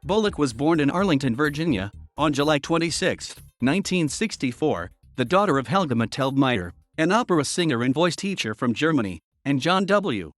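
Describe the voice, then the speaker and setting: A man reading a scripted biography narration in an even, flat manner. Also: fairly high pitch, moderate pace, few pauses, dry recording, clean studio sound. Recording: faint music underneath.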